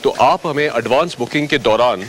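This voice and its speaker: A man's voice: medium pitch, normal speed, slight background noise. A man presenting a scripted training film as a service advisor, courteous and instructive, addressing viewers directly.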